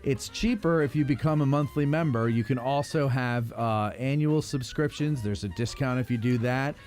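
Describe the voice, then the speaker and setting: A man's voice: mid-range voice, normal speed, darkish tone, soft background music. A man hosting a podcast, chatting casually and ad-libbing promotions in a jokey, mock-announcer way. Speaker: podcast host. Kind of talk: casual ad-libbed promotional chat.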